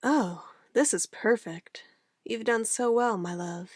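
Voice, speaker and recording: A woman's voice: a little high, slow pace, dry recording, studio quality. A woman playing an obsessive, controlling witch in an ASMR roleplay, a sweetly menacing lover who moves between coaxing endearments and firm commands. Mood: possessive, controlled.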